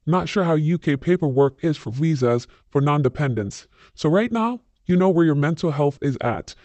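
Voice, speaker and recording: A man with a slightly high voice reads viewer comments aloud and cuts in with his own blunt, scornful verdicts. His delivery is opinionated and dismissive. The recording is dry and close, with no room sound. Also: darkish tone, no echo. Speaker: channel host reading viewer comments.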